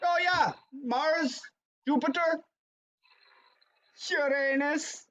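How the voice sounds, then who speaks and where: A woman's voice: high-pitched, very slow, a bit bright, muffled recording, heavily acted. A woman playing a distracted stargazing contestant in improv comedy, with a wobbly put-on accent and a scattered, giggly manner. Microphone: home video call.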